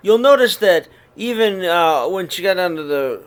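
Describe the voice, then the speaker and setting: Gentle man reading a picture book aloud. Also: high-pitched, animated. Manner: gentle, unhurried storybook reading with throat clears and restarts. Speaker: read-aloud host for young children. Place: living room, seated on a sofa before curtains. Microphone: webcam or laptop camera at close range.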